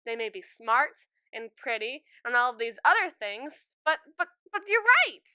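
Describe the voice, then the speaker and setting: A woman's voice: on the high side, moderate pace, clean tone, dry recording, full character voice. A woman playing a lovestruck, anxious schoolgirl in an audio roleplay, chattering expressively and dramatically to a friend. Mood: nervous, determined. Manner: expressive, rambling, theatrical teenage chatter. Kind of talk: ASMR roleplay, one-sided conversation with a friend.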